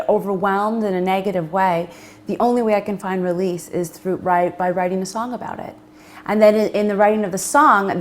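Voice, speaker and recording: Animated woman singer guest. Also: medium pitch. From Toronto. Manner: animated, enthusiastic storyteller. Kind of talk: casual interview chat.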